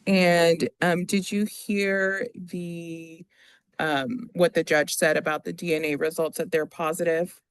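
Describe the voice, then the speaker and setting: An attorney speaking formally in a courtroom, reading out requests and questioning a witness in a halting, procedural way. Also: medium pitch, little pitch movement, clear, resonant tone, no echo.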